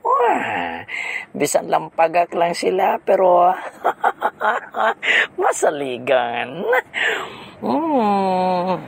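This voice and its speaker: A man's voice: sits high, wildly swooping pitch, drawn-out words, creaky, pauses often, fairly bright tone, slightly rough, exaggerated voice. A man with a Western Visayan Filipino accent voicing a scheming, domineering female villain boss in an audio drama, with theatrical, taunting delivery. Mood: gloating, scheming.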